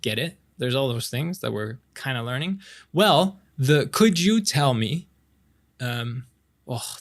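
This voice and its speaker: Male actor as boyish best-friend love interest for romantic ASMR roleplay; French Canadian accent, medium pitch, casual, playful, halting delivery. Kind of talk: intimate romantic ASMR roleplay, improvised-sounding casual chat.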